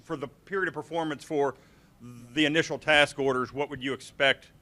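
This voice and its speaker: A man from the audience puts questions to the panel in a bright, forceful voice that sits a little high. He stumbles, restarts and fills pauses as he works toward his point. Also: fairly even intonation. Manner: halting, restarts, many fillers. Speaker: audience member asking questions. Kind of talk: asking questions at an industry Q&A.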